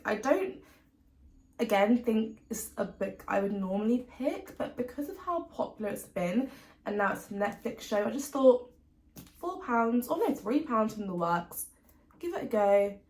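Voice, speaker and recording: A British woman chatting casually to camera as a book vlogger, in an informal, rambling way. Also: mid-range voice. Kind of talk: casual chat to camera about books. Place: room facing a busy street.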